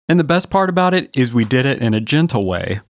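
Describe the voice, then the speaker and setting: An earnest, soft-hearted bro rocker, played by a man, with a lively, bouncing delivery. It is recorded dry and close, like a tabletop game at home. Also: mid-range voice, quick pace, dry recording. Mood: gentle. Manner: earnest, gentle, bro-ish.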